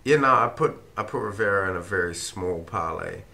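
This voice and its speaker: A man with a croaky, run-down voice, rambling casually through fight picks with plenty of swearing. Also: low-pitched, lively intonation, a little fast, bright, forceful tone. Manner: rambling, swears freely, thinks aloud with ums. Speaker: fight-pick vlogger. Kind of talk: casual fight predictions monologue.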